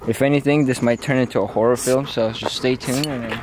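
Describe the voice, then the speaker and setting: A man's voice: medium pitch, fairly even intonation, quick pace, very noisy. A young man chatting casually and profanely as he vlogs outdoors. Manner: slangy, profane. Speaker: vlogger. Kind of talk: casual outdoor vlog chat. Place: outdoors on a trail and around graffitied ruins.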